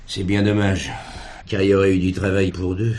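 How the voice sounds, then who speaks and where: Man acting a deep-voiced, plainspoken farmer. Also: level tone, brisk, a little mellow, close to an everyday voice. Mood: matter-of-fact, reflective. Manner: colloquial, rambling, punctuated with verbal tags. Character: weary farmer talking about his estranged brother.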